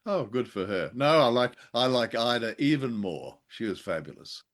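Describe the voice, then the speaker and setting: A man's voice: medium pitch, very animated, moderate pace, fairly bright tone. An older man co-hosting a casual radio review chat, speaking in an effusive, exclamatory way. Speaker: co-host of an old-time radio review show.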